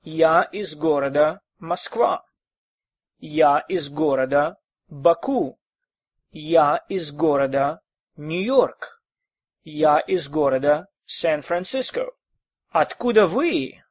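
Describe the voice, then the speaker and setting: Male language teacher. Patient, repetitive drilling, very unhurried, slightly high voice. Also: dry recording. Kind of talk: recorded language lesson.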